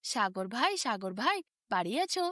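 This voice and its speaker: Storybook tailorbird, played by a woman: tiny, quick-tempered, wheedling in bright sing-song. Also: medium pitch, big pitch swings, no room sound, clean studio sound, pitched below the performer's own voice. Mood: sing-song calling. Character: small indignant pleading tailorbird, quick to anger.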